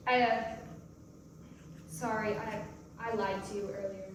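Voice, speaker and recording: An actress playing a troubled, guilt-ridden mother who has struggled with drinking, speaking haltingly in a staged play reading. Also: medium pitch, fairly even intonation. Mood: hesitant, sheepish. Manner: halting, stammering delivery. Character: troubled recovering-alcoholic mother, hesitant, guilt-ridden, confused. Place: large echoey room, live staged reading. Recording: echoey hall from far off, noisy.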